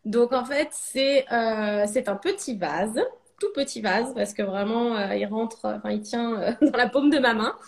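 A woman's voice: on the high side, measured pace, vocal fry. A French woman in her thirties, a ceramic artist, talking casually in a podcast interview, hesitant with many fillers and restarts. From France.

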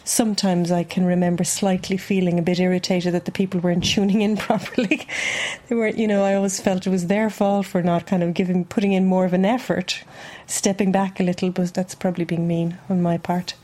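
Flat-voiced, measured woman friend. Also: lowish voice. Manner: measured, thoughtful, self-questioning. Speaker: longtime friend. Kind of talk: documentary interview.

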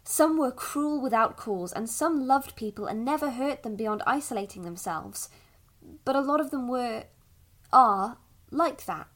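A woman playing a patient, gentle mother in a fantasy audio drama, explaining things carefully to her young child. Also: fairly high pitch, lively intonation. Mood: thoughtful, explaining. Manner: careful, measured explaining with thoughtful hesitations.

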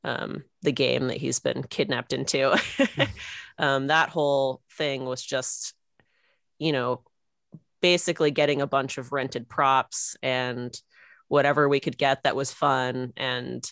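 A woman in her thirties with a low voice, recorded dry and close. She is an interview guest who chats casually, in an informal, giggly way. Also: steady tone, takes it slowly, vocal fry, fairly bright tone, dry recording. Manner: informal, giggly, punctuates talk with small laughs. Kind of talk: casual chat.

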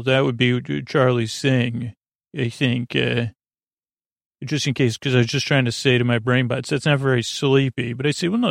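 A man with a creaky voice hosting a bedtime podcast in a soothing, halting, meandering monologue that keeps drifting into tangents. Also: mid-range voice, no room sound, studio quality. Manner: meandering, halting, digressive, absent-minded. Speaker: podcast host.

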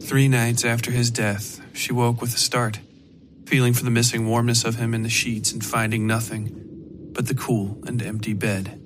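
A man's voice: medium pitch, flat delivery, normal speed, background noise. A man hosting a fiction podcast and narrating horror stories in a scripted storytelling style.

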